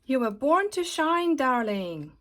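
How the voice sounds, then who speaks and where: Give the voice of a high-pitched, flamboyant bit of glitter from a children's storybook played by a woman. He is theatrical and self-important, gushing with drawn-out, affected grandeur. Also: big pitch swings, fairly bright tone, full character voice.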